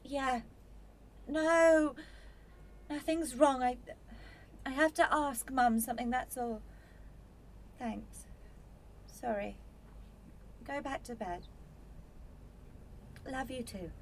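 A woman's voice: high voice, slow, long pauses, slight background noise, poor audio. An actress playing a bitter, defensive young woman in a radio play, sarcastic and combative. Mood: hushed, reassuring.

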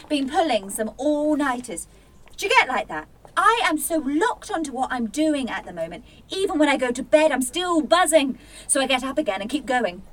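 A woman playing a scatty, overworked young student in a radio play, breezy, blunt and rambling as she talks. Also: high-pitched. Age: young adult. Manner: breezy, rambling, self-interrupting. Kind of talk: naturalistic radio play acting.

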